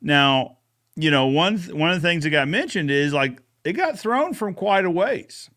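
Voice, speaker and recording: Higher-voiced man hosting a casual chat. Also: lively intonation, moderate pace, pushed and bright. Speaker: podcast host.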